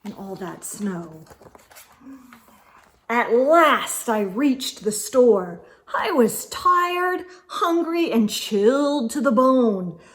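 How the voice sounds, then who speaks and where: A woman reading a picture book aloud to children, expressively, with gasps and hushed asides. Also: fairly high pitch, animated, very slow. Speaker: children's read-aloud storyteller.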